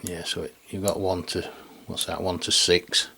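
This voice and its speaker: A man's voice: deep voice, flat delivery, moderate pace, creaky, pushed and bright, breathy edge. A man with an English accent giving a casual, rambling hands-on product demonstration in colloquial speech, often ending on tag questions. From England. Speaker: radio reviewer and video host.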